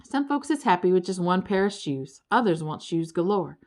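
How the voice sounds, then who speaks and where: A woman's voice: lowish voice, very animated, moderate pace, darkish tone. A woman voicing an easygoing folksy creature, half bird and half turtle, with a drawling rural Southern US accent in a children's storybook. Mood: drawling, contented.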